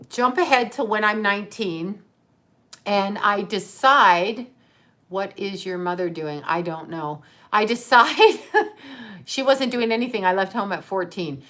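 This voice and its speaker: A woman's voice: mid-range voice, fairly bright tone. Chatty woman rambling through a story. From Canada. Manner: rambling, chatty, answers viewer comments mid-story. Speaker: livestream host. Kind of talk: casual live-stream storytelling.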